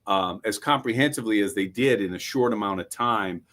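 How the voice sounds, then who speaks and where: A man with an American accent giving measured, explanatory legal commentary as co-host of a casual talk show. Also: on the low side, a bit bright. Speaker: co-host and prosecutor.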